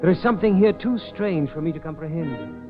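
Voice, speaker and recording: Man acting dramatic haunted guy in a 40s radio play, highish voice, thin tinny sound, super ominous. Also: lively intonation, brisk, close to an everyday voice. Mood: desperate, ominous. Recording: thin, tinny 1940s radio recording, faint music.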